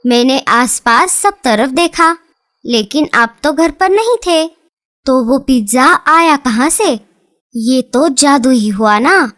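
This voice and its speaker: A woman voicing a gentle, chatty mother bird in a cartoon, cheerful and coaxing. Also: high-pitched, lively intonation, slow, heavily acted. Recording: loud background music.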